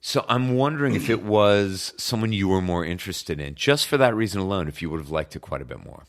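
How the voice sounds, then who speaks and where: A man with a very deep voice talks casually as a podcast co-host. He slips in wry, teasing jokes between his questions. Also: lively intonation, a little fast. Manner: wry, teasing, joking asides. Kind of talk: casual chat reviewing a podcast.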